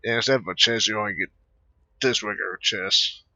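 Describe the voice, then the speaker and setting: A man giving casual gameplay commentary in a rambling, hesitant, halting way. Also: lowish voice, pushed and bright, dry recording, lo-fi sound. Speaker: gamer narrating own gameplay.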